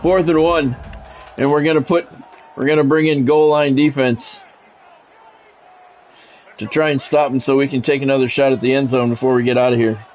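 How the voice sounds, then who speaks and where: A man's voice: medium pitch, fairly even intonation, normal speed, pauses often, a little mellow, muffled recording, faint music behind. A man streaming a football video game, mixing sports play-by-play with casual, salty chat to viewers and swearing freely.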